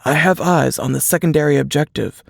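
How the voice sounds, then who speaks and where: A narrator voicing a weary, capable male field agent, speaking in a hushed, clipped way in a suspense audiobook. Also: medium pitch, brisk, vocal fry, dry recording, studio quality. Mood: hushed, focused. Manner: hushed, clipped radio-protocol delivery.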